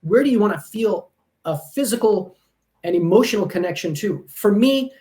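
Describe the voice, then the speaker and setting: A man's voice: high-pitched, lively intonation, normal speed, a little mellow. A man in a casual podcast conversation, talking at length with frequent ums and restarts. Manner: rambling, many ums and restarts. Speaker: guest, language company founder. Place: lives in Taiwan.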